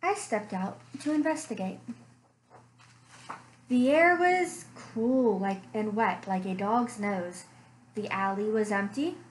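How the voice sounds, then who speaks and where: A young woman reading a picture book aloud for children, slow and expressive with playful sound effects, recorded on a webcam in a small room at home. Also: mid-range voice, slight background noise. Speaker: children's librarian. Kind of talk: storytime read-aloud.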